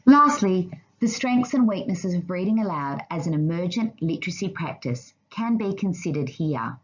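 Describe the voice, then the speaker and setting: A woman narrating a scripted slide presentation in a formal, measured reading manner. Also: on the low side, animated, dull audio.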